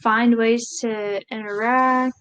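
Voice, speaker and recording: A woman voicing a live sign language interpretation of a speech, halting, with pauses and self-corrections. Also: a little high, fairly even intonation, a bit bright, smooth, lo-fi sound.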